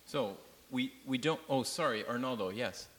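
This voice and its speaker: A man leading a church class with a loud voice, talking loosely with many fillers and putting questions to the room. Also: medium pitch, normal speed, long reverb tail. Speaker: class leader.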